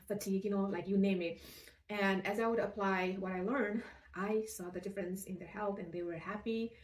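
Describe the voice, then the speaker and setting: A middle-aged woman with a Nepali accent shares a personal account in an earnest, measured way. Her pitch is average for a woman. She sounds set back from the microphone in a roomy, echoing space. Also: a little mellow. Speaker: nurse practitioner and functional medicine provider. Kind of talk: personal story told to camera. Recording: roomy echo, distant microphone.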